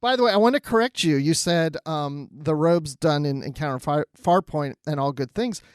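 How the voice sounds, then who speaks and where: Male podcast co-host with a slightly higher pitch, informal delivery, dry recording. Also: lively intonation, moderate pace, clean tone, no room sound. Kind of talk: casual chat.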